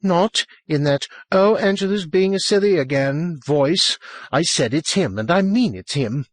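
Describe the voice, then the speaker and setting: A man's voice: big pitch swings, normal speed, husky, no room sound. A man voicing a frightened, snappish, hysterical woman in a piercing, agitated, breathless way for an audio story. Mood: angry, snarling. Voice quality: piercing, shrill.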